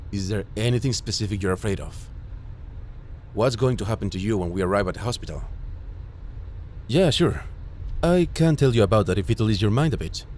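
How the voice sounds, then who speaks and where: Man acting as caring low-voiced medic, lively intonation. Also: slight background noise. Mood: worried, soothing. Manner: gentle, reassuring, coaxing. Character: caring, worried male ambulance medic soothing an injured patient. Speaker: voice actor. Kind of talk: immersive audio roleplay acting.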